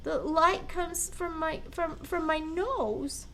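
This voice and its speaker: A woman's voice: extremely high pitch, takes it slowly, noisy background, voice pushed up, heavily acted. An older woman voicing a timid young reindeer who stammers and trembles as he speaks, in a storybook reading.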